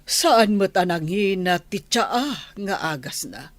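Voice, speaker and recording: A woman playing a concerned, gently scolding mother in a radio drama. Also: mid-range voice, lively intonation, takes it slowly, dry recording.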